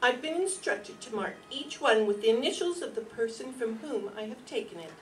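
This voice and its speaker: A woman's voice: fairly high pitch, lively intonation, normal speed, natural voice. A woman playing an earnest detective's assistant in an old-time radio mystery read from a script. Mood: businesslike. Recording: distant mic, noisy room.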